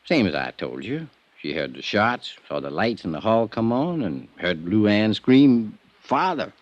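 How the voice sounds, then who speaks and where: A folksy American small-town sheriff in an old radio drama. His low voice swings up and down as he talks plainly and stubbornly stands up for his townsfolk. The vintage recording is dull and boxy. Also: big pitch swings. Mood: defensive. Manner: folksy, drawn-out, plain-spoken.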